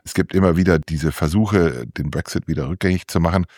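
Low-voiced male correspondent, close dry sound. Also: a little fast, a little mellow, no room sound. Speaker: political correspondent reporting from London. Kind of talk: unscripted interview answers, political commentary.

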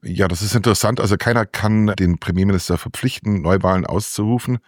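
Low-voiced male correspondent, close dry sound. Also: quick pace, no echo. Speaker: political correspondent reporting from London. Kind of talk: unscripted interview answers, political commentary.

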